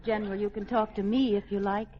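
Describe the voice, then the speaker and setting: An actress playing a friendly, sympathetic housewife with playful wit in a 1940s radio comedy. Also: female voice, mid-range voice, little pitch movement, fast, a faint crowd, lightly acted. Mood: reassuring. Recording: dull vintage radio recording, audience, hiss.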